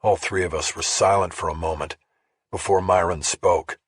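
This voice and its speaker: Deep, husky male audiobook narrator. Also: steady tone, normal speed, dry recording. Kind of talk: scripted first-person crime thriller narration.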